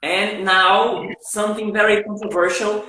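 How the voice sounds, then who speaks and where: Slow-talking man in a very echoey room. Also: sits high, flat delivery, sharp tone, a little hiss or hum. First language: Portuguese. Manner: slow, deliberate questions with restarts. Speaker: host, English teacher. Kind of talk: casual interview.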